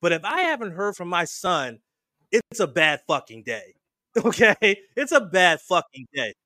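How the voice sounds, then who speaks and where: A man's voice: high-pitched, big pitch swings, measured pace, pushed and bright, no echo, clean studio sound. A man in his forties co-hosting a podcast in casual chat, blunt and profane. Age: 40s. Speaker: podcast co-host.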